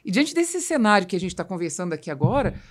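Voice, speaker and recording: Female podcast host, Brazilian accent, mid-pitched voice, explanatory and emphatic, conversational business talk. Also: big pitch swings, quick pace.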